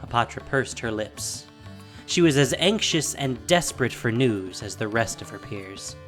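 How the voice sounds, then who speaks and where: A woman narrating a scripted fantasy story in a theatrical, measured, dramatic style. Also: a bass voice, expressive, faint music behind.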